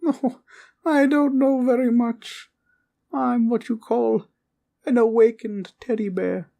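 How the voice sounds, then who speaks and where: A man's voice: fairly even intonation, takes it slowly, vocal fry, warm tone, exaggerated voice. A man voicing an adorable, innocent little teddy bear in a comfort roleplay, with cutesy, polite, childlike chatter. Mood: cheerful, curious. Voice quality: high-pitched, cutesy. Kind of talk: cartoonish comfort roleplay audio.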